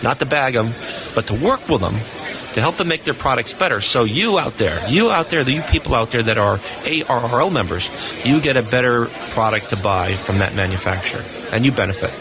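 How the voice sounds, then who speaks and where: A man's voice: mid-range voice, animated, fairly quick, a crowd in the background. A man giving unscripted, explanatory answers as a technical guest in a live interview. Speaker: radio equipment test engineer, interview guest. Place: booth on a convention hall floor. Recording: noisy busy convention floor background.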